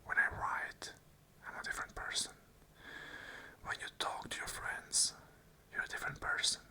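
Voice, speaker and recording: Bright, sharp whisper, fully unvoiced. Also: moderate pace. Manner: whispers the whole way, soft and unvoiced; earnest, repeating affirmations in short phrases. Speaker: ASMR channel host. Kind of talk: unscripted whispered ASMR monologue.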